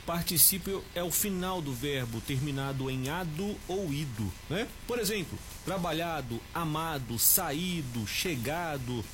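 A man's voice: on the high side, animated, a little slow, fairly bright tone, soft background music. A man, a language teacher giving a short grammar tip on the radio and speaking straight to the listeners. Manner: explanatory, addresses listeners as "gente".

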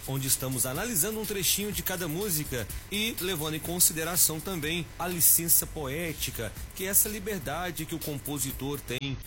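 A man presenting a short radio lesson on Portuguese grammar in a calm, explanatory teacher's tone. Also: a little high.

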